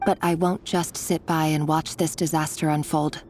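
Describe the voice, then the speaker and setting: An actress playing an earnest, secretive and protective young woman in a video game, measured and resolute over background music. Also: sits low, flat delivery, a little mellow, a little hiss or hum, lightly acted. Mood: brooding, resolute. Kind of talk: scripted video game voice acting. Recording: game dialogue with music underneath.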